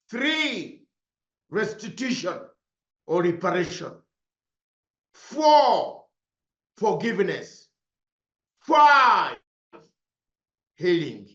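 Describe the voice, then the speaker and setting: A man with a high voice speaks slowly and declaims, hammering points home through repetition. The sound is roomy and a little dull, as if recorded in an echoing space with modest equipment. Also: a bit bright. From Uganda. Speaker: broadcaster. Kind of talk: impassioned political speech.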